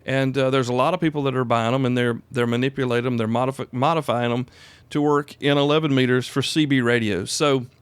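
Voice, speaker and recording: A man's voice: medium pitch, fairly even intonation, quick pace, clear, resonant tone, no echo. A man in his fifties with a Southern US accent, talking informally and plainly as a podcast host, folksy and emphatic. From South Carolina, United States. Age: 50s. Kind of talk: informal solo podcast talk.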